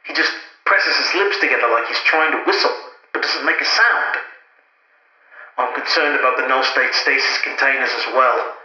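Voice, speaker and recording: A man's voice: mid-range voice, normal speed, bright, forceful tone. A man acting a worried, weary researcher recording a log through a radio filter. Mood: concerned, weary. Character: worried, weary male researcher aboard derelict starship. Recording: echoey, muffled radio log.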